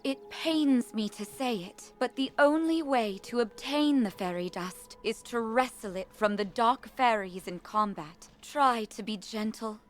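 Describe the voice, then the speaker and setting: A woman voicing a wise, gentle, solemn seraph guardian in a fantasy video game, formal and measured. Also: on the high side, lively intonation, soft background music. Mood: solemn, kind. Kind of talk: video game character acting.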